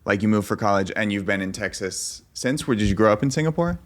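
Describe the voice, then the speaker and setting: A man chats with a job applicant in a casual call. He sounds friendly and loose, stumbling over restarts and fillers. His voice sits a little low. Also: lively intonation, fast talker. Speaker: interviewer posing as an employer. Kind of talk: casual video call conversation.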